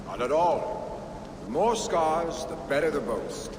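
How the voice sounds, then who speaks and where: An actor playing a brash, carefree young Viking warrior in a video game cutscene, boastful and defiant. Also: male voice, fairly high pitch, very animated, takes it slowly. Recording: noisy game ambience behind dialogue.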